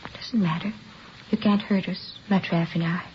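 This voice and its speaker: A woman's voice: on the low side, steady tone, moderate pace, natural voice. An actress playing a defiant, lovesick married woman in a 1950s radio drama, speaking with fervent insistence. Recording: muffled vintage radio recording with hiss.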